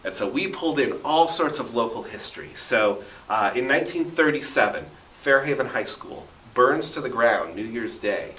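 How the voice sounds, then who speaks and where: Bright-voiced talkative man, roomy echo. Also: mid-range voice, normal speed, hardly any pauses. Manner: talkative, animated storyteller with dramatic emphasis. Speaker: radio drama producer, interview guest. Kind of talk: enthusiastic storytelling in a radio interview. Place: basement radio station studio. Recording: roomy echo, distant from the mic.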